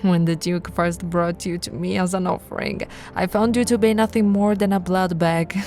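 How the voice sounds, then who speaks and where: A woman voicing a possessive, seductive vampire royal in an ASMR roleplay, teasing and often slipping into breathy whispers. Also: on the low side, fairly even intonation, a little hiss or hum, natural voice. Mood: menacing hush. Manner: slow, teasing, breathy whispering with soft laughs.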